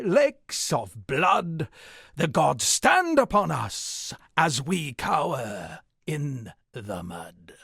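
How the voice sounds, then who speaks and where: Gravelly, eccentric sea captain. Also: male voice, fairly high pitch, a bit bright, no echo, studio quality, heavily acted. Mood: grand poetic declaiming. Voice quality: gravelly, husky. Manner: theatrical, rambling, florid old seafaring turns of phrase. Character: eccentric washed-up sea captain and would-be poet. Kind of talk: video game character dialogue.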